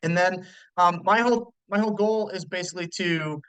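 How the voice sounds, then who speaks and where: A man's voice: high voice, brisk, fairly bright tone, clear, resonant tone, dry recording, poor audio. A man in his thirties, a mortgage loan officer, hosting a live webinar in a loose, chatty way with lots of fillers and restarts. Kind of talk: casual live webinar presentation.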